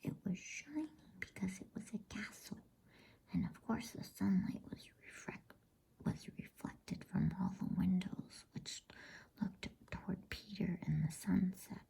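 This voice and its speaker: Whispering, breathy woman reading a bedtime story. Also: mid-range voice, low-quality recording. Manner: hushed, unhurried, soothing. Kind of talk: whispered ASMR storybook narration.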